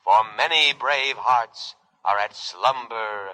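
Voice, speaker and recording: A man's voice: medium pitch, bright, forceful tone, poor audio. A man in a 1950s radio drama voicing an earnest, protective robotic lifeboat that speaks formally and solemnly. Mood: solemn, reverent. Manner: formal, solemn, measured speech. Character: earnest, literal-minded robotic lifeboat, protective, dutiful and pious.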